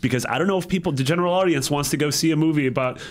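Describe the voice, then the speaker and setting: A man's voice: on the high side, very fast. A man talking casually and conversationally as a podcast panelist, explaining his take. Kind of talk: casual pop-culture panel chat.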